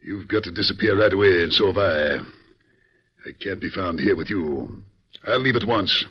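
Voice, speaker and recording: An actor playing a cold, commanding male gang leader in an old radio drama, with a husky voice. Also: low-pitched, expressive, normal speed, exaggerated voice. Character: scheming male gang leader plotting a new nation. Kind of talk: 1940s radio acting. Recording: dull old radio recording.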